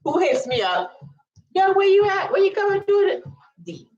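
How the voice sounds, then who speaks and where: A woman's voice: extremely high pitch, big pitch swings, moderate pace, darkish tone, muffled recording. A woman of about thirty, telling a personal story casually in a chatty, slangy way full of asides. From US Virgin Islands. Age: around 30. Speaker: storytelling vlogger.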